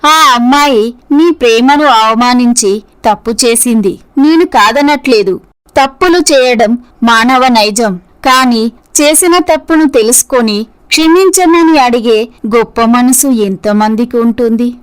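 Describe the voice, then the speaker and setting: Woman playing a compassionate high-voiced mother. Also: a little slow, smooth, close to an everyday voice, poor audio. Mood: pleading. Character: compassionate middle-aged mother advising the young. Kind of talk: scripted moral-story voice acting.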